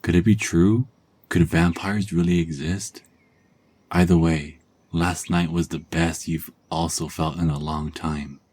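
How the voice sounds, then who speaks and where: A man tells a second-person story in an extremely deep voice with a husky edge. His delivery is calm and unhurried, meant to soothe, and it sinks into hushed whispers at the quietest moments. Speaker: narrator.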